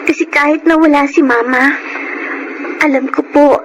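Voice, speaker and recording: A woman's voice: high voice, steady tone, moderate pace, natural voice. A woman acting a poor, humble, grieving orphan girl in a Filipino radio drama, polite and earnest, with a Filipino accent. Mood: polite, resolute. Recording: noisy radio drama audio.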